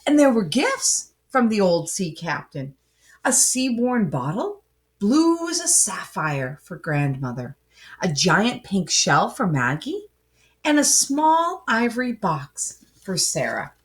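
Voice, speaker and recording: A woman reading a children's story aloud with dramatic, emphatic phrasing and pauses. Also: mid-range voice, big pitch swings. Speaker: storybook reader. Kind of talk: expressive children's story narration, with asides to listeners.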